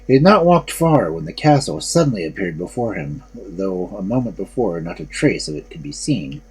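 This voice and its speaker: A man's voice: low voice, big pitch swings, normal speed, a little mellow, husky, poor audio. A man narrating fairy tales expressively as a storyteller and host.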